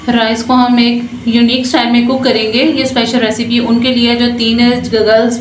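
A woman hosting a home cooking channel, explaining each step in a chatty, instructive way and often adding short blessings. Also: on the high side. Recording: echoey kitchen with frying noise. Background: frying and stirring sounds.

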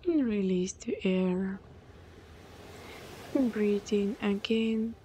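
A woman leading a guided meditation in a soothing, breathy voice that often softens almost to a whisper. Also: medium pitch, drawn-out words. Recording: clear background noise, ambient sound.

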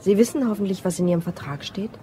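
An actress playing a firm, officious but polite property administrator in a German crime radio play, speaking formally. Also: female voice, mid-range voice, quick pace, mellow, slight background noise, lightly acted. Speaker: actor.

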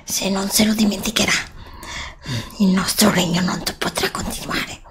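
A middle-aged woman voicing a scheming evil witch queen of darkness in an ASMR roleplay, hissing curses in slow menacing whispers close to a desk mic. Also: mid-range voice, steady tone, a bit bright, lightly acted. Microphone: desk condenser mic close up.

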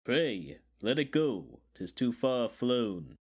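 An actor playing a wise old Jedi mentor, calm and solemn, in a measured Shakespearean dramatic reading. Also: male voice, mid-range voice, husky, exaggerated voice. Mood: grave, foreboding. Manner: measured, archaic theatrical verse.

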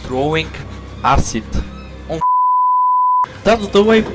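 Man playing a taunting villain, swooping high pitch. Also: sing-song, slow pace, over loud music. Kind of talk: amateur game mod voice acting.

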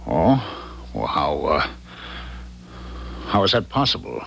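An actor playing a nervous, evasive husband in a radio drama, hesitant and edgy. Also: male voice, a bass voice, sing-song, takes it slowly, vocal fry, fairly bright tone, full character voice. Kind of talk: 1970s radio drama acting.

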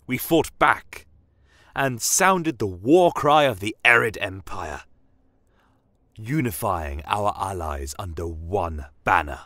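A man playing a solemn, defiant horned army commander, telling a dramatic fantasy story in a grave, measured manner. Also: deep voice, fairly bright tone, no room sound, full character voice. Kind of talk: dramatic first-person fantasy audio story.

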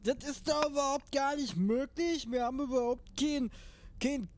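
A woman's voice: high-pitched, normal speed, darkish tone, lightly acted. A woman playing a crude, hot-tempered young street tough, slangy and indignant, in an audio drama parody. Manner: slangy, foul-mouthed, indignant.

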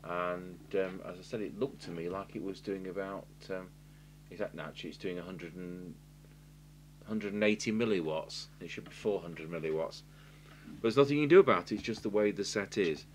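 Deep-voiced English man, matter-of-fact. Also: a little fast, a little hiss or hum. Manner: matter-of-fact, thinking aloud. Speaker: radio repair technician, presenter. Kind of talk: informal hands-on repair demonstration commentary. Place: indoors at a workbench.

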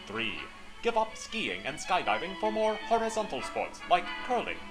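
A man's voice: sits high, very animated, normal speed, poor audio. A man reading a mock commercial in an earnest public-service tone. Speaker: spoof ad announcer. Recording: announcer over loud music.

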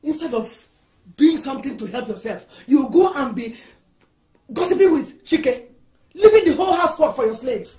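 A woman's voice: on the high side, very animated, normal speed, darkish tone, echoey room. A woman playing an easily angered older mother-in-law in a film drama, with a Nigerian accent and a sarcastic, accusing manner. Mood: scolding, sarcastic. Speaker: actress.

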